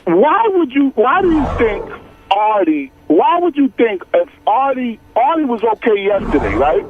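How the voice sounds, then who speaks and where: High-pitched man on a thin phone line. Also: very animated, unhurried. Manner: repeats and restarts his questions. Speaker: radio show caller. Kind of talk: casual phone-in opinion. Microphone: telephone. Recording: thin, narrow telephone line.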